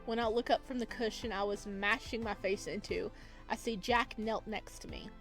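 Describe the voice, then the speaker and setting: A woman's voice: mid-range voice, steady tone, normal speed. A woman chatting casually and giggly as she plays a game and reads its story text aloud. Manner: chatty, giggly, reacts aloud while reading. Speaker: let's play host and reader. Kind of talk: casual game commentary and reading visual novel text aloud. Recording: music behind the voice.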